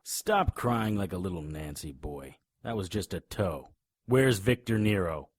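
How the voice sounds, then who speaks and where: An actor playing a tough, wisecracking monster hunter in an audio drama, grim and cocky, with a husky voice. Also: male voice, deep voice, animated, a little slow, no room sound, studio quality. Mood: menacing. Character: hard-boiled male monster hunter, grim, cocky, threatening. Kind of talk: audio drama acting with noir first-person narration.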